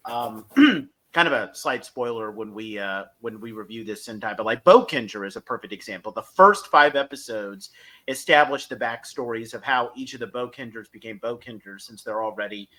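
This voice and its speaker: A man's voice: mid-range voice, expressive, normal speed, bright, forceful tone. A man co-hosting a podcast, giving an enthusiastic, opinionated fan review in a casual discussion.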